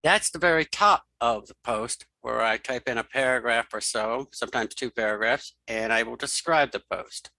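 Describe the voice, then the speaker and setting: A middle-aged man hosting a screen reader software tutorial, informally thinking aloud and repeating key steps. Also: medium pitch, normal speed, vocal fry, hardly any pauses, no echo, rough recording. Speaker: tutorial host and web developer.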